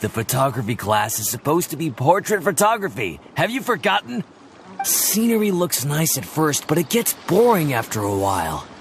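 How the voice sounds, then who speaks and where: A man's voice: a little high, sing-song, normal speed, slight background noise. An actor voicing a dutiful, earnest male first mate who speaks respectfully to his captain, with game music behind.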